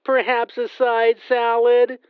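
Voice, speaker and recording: A woman playing a slow, chirpy pizza clerk. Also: fairly high pitch, completely flat delivery, clean tone, dry recording, phone-quality sound. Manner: chirpy upselling, flustered. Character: young female pizza clerk, new and eager. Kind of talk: children's comedy skit.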